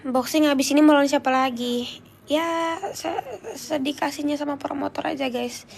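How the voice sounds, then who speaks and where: High-voiced young woman, congested with flu. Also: fairly even intonation, moderate pace, gravelly, darkish tone. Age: young adult. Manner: informal, slangy, chatty. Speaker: livestreamer answering viewer comments. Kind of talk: casual chat.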